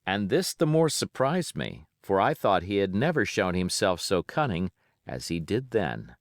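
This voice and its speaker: A man's voice: low voice, very animated, measured pace, dry recording, clean studio sound. A man reading a classic adventure novel aloud as a scripted audiobook narration.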